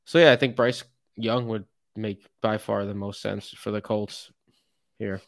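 A laid-back man co-hosting a sports podcast, with quick, easygoing reactions. His voice is fairly low and sounds close and dry. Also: fairly even intonation, creaky, no echo. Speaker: podcast co-host. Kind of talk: casual sports podcast chat.

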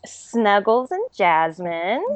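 A woman's voice: mid-range voice, very animated, slow, no echo. A woman from Germany, a makeup artist guest, chatting casually with laughs and frequent ums over a call. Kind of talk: casual interview chat.

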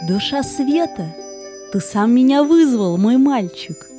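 A woman playing a gentle, radiant spirit of light in a children's audio play, tender and solemn. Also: high-pitched, expressive, slow, faint music behind.

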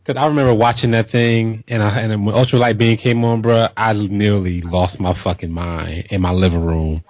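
Low-voiced guy telling a story on a podcast, cusses a lot, muffled audio, faint music. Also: normal speed, no room sound. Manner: animated storyteller, swears freely. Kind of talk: casual chat, storytelling.

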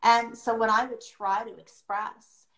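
A middle-aged woman speaking reflectively and conversationally on a livestream, earnest and deliberate, pausing as she chooses her phrases. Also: fairly high pitch, little pitch movement, fairly bright tone. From born outside the US, adopted into America. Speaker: podcast host sharing her experience as an adoptive mother. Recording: roomy, echoing sound.

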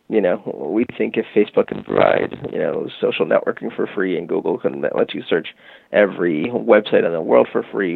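Guest interviewee: lowish gravelly male voice, casual explainer, dry phone-line sound. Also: no room sound. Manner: relaxed, frequent fillers like "you know" and "um". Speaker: guest, music marketing tech company founder. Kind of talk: conversational interview, explaining a product.

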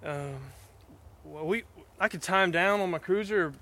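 A nervous small-town sheriff's officer in an audio drama, acted by a man. The voice is high and bright, polite and hesitant, with a folksy stammer. Faint noise sits behind it. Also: moderate pace. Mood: flustered.

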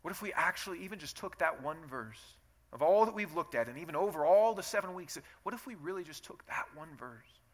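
A man's voice: a little high, lively intonation, fairly quick. A man preaching a sermon as pastor, earnest and emphatic, pushing up on key phrases.

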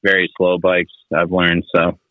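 A man's voice: low-pitched, monotone, a little slow, no room sound. A young man from Finland chatting casually as a podcast guest, rambling and laid-back with many fillers. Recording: tinny phone-like audio.

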